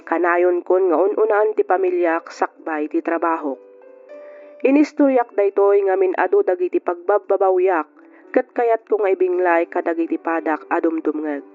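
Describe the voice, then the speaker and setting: A woman's voice: on the low side, moderate pace, lightly acted. Tinny radio sound, music underneath, actress voicing regretful young woman, Ilocano accent, earnest reflective storytelling, old radio drama. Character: regretful workaholic young woman narrating her life story. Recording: tinny narrow-band radio, music behind.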